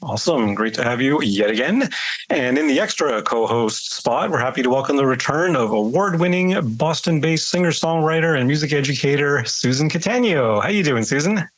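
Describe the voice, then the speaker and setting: Rambling Toronto-accented podcast host. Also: male voice, a little high, lively intonation, normal speed. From Canada. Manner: rambling, many fillers and restarts. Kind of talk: casual chat.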